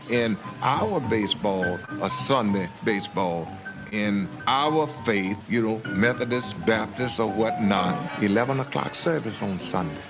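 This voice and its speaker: An older man reminiscing fondly in a documentary interview. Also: medium pitch. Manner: reminiscing, fond and unhurried. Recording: documentary clip with music behind.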